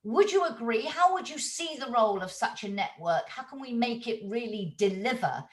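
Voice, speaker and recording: A woman's voice: on the high side, lively intonation, moderate pace. Polished woman moderating a panel. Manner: fluent, polished introductions.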